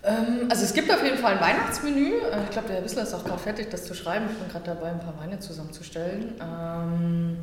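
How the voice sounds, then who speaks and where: A woman's voice: mid-range voice, lively intonation, fast, few pauses, crowd noise. A woman with a Berlin accent, a sommelier, talking in a thoughtful casual way with many fillers and easy laughs. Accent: Berlin German. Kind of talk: casual chat. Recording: echoey room with long reverb.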